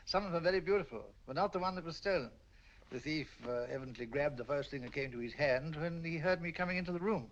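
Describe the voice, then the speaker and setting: A man's voice: on the high side, fast talker, slight background noise, natural voice. An actor with a British accent playing an affable male collector, chuckling as he talks in 1940s film style. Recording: dull old film soundtrack.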